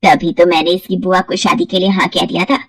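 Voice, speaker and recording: A middle-aged mother-in-law as a woman storyteller plays her, sharp-tongued and always fretting. She complains and scolds in a dramatic cartoon-story manner, recorded dry and close. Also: fairly low pitch, little pitch movement, fairly quick, breathy edge, dry recording, pitched below the performer's own voice. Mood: smug.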